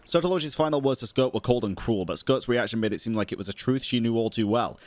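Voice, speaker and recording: A man's voice: medium pitch, fast, dry recording. A man giving casual live reaction commentary as a game streamer, talking in slangy, profane bro-speak. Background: game music.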